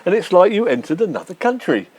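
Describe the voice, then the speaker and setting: A man's voice: high-pitched, big pitch swings, fast talker, a little mellow. An actor playing a pompous, sarcastic, snobbish English man, blustering and mock-reassuring in an old-fashioned radio sitcom style.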